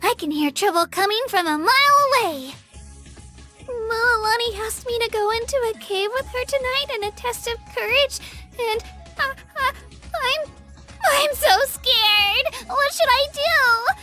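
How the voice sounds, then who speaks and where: A young girl miner from a video game, with a very high, bright voice. She is timid but eager, sweet and earnest, and easily flustered into little stammers. Soft music sits behind her. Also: a little slow, heavily acted. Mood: scared, trembling. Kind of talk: animated game character voice lines.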